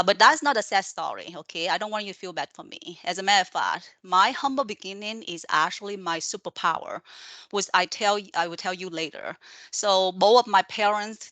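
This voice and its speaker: Low-voiced Vietnamese-accented woman professor. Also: bright, forceful tone, dry recording. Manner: earnest, encouraging, many fillers and checks like "okay?". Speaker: college professor. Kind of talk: online presentation to students.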